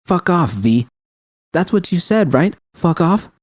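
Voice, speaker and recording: A man's voice: a little high, very animated, unhurried, no room sound, clean studio sound, pitched above the performer's own voice. A man voicing a hurt, bitter young woman in a story, a betrayed lover who accuses with venom and swearing. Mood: furious, hurt.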